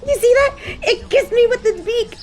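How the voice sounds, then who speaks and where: A woman playing a snobby but secretly soft fallen angel in a scripted audio roleplay, with prim, formal phrasing. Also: very high voice, moderate pace. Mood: delighted, giggly.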